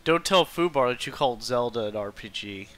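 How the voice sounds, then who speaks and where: Bright-voiced man chatting on a game stream. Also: mid-range voice, animated, brisk. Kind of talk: casual livestream chat and gameplay commentary.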